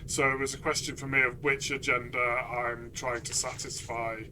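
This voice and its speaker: A man discussing a game conversationally as a player and reviewer, reflective and pausing mid-phrase. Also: medium pitch, level tone, background noise.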